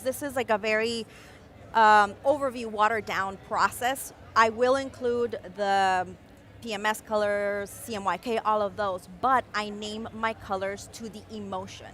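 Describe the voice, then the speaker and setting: A young adult woman, born in Mexico City and raised in Caracas, explaining her design process with expressive enthusiasm in a live-stream interview. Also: mid-range voice, moderate pace, a little hiss or hum. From Mexico City, raised in Caracas, Venezuela. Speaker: guest designer.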